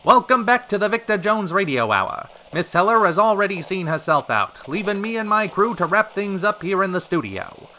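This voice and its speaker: Genial male radio host, acted, very bright forceful voice, old-time showy folksy patter, highish pitch. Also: big pitch swings, moderate pace, hardly any pauses, a little hiss or hum, exaggerated voice. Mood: smooth showmanship.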